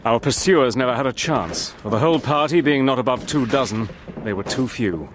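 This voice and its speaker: An actor playing a steady, soldierly adventurer, narrating his wartime story in a measured, grave manner. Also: mid-range voice, a little hiss or hum, lightly acted. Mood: grave, tense. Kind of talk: scripted dramatic narration.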